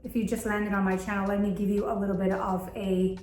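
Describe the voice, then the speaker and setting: A woman's voice: medium pitch, flat delivery, brisk, a little mellow. A 38-year-old woman from Argentina, a native Spanish speaker, talking casually and candidly to viewers as an upbeat vlog host. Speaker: content creator and video host. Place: bathroom at home, indoors. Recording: echoey indoor room.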